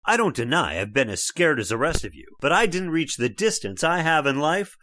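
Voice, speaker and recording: Guy doing a blustery know-it-all dad, old radio drama style, pitch jumps all over, dry sound. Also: very animated, a little fast, no room sound. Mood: stubborn, scornful. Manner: forceful, scornful, dismissive. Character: stubborn skeptical middle-aged father, blustering.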